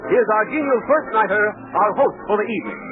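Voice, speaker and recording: Male 1940s radio announcer, very high projected pitch, showy and formal, over loud music on a thin recording. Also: expressive, quick pace, phone-quality sound.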